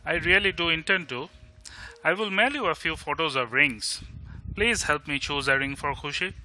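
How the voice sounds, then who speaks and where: A man's voice: a little high, moderate pace, sharp tone, slight background noise, low-quality recording. A man with an Indian Bengali accent playing a blunt, sardonic, protective best friend in an audio drama. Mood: warm, sincere.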